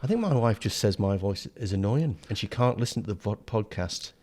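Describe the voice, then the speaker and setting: English bloke, lowish voice, joking around, lots of ums. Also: male voice, lively intonation, a little fast, darkish tone. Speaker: podcast co-host. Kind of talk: casual chat. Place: indoors by a fire.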